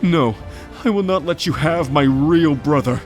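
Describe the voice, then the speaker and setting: A man's voice: a little high, a little slow, soft background music, natural voice. A man playing a timid, loyal young half-merman in a fantasy audio drama, stammering and pleading with emotion. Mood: desperate, defiant.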